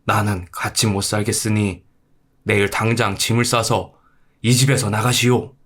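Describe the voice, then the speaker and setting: A man playing a gruff, fed-up, hard-drinking husband in a folktale, grumbling and blustering in old-fashioned speech. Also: fairly low pitch, flat delivery, normal speed, a bit bright. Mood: exasperated.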